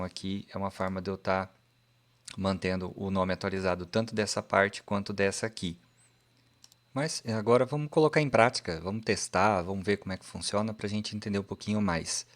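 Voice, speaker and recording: Guy teaching code on screen, lowish voice, talks thru each step, keeps going "né?". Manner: explains step by step while coding, often checking in with "né?" and "ó". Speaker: programming instructor. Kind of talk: screen-recorded coding tutorial.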